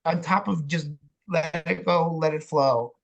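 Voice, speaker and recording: A man's voice: a little high, a little slow, creaky. A man talking as a podcast guest in casual interview chat, informal and encouraging. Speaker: theatre maker and podcast guest.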